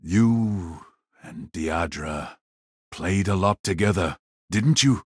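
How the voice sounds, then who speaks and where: Man acting a low, slow, gloomy dressmaker mage. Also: no echo, studio quality, exaggerated voice. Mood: quiet, probing. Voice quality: hoarse. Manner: measured, probing. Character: gloomy, probing male dressmaker mage devoted to beauty. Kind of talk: audiobook drama dialogue.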